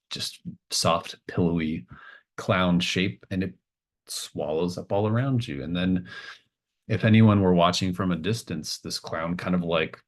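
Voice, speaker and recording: Low-voiced man running a tabletop game. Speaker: game master. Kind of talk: improvised tabletop game narration and casual chat.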